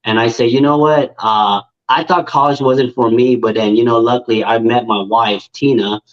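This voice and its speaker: Man, thirties, from Vietnam, interview guest, casual storytelling, middle pitch, slightly muffled lo-fi audio. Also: fairly even intonation, moderate pace. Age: 30s. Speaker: guest, nail technician and influencer.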